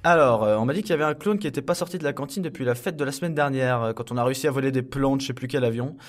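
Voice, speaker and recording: A man's voice: medium pitch, rapid-fire, close to an everyday voice. Baffled, irritated henchman inspector played by a man. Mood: hesitant, irritated. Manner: hesitant, casual, incredulous. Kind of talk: French comedy audio serial acting.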